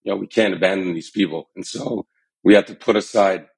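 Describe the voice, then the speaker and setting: Male founder in his thirties, deep voice, sincere and steady storyteller. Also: creaky, husky, poor audio. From Armenian, born in Iran, raised in the US. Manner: earnest and measured, some fillers. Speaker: startup founder and CEO, podcast guest. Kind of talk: interview storytelling.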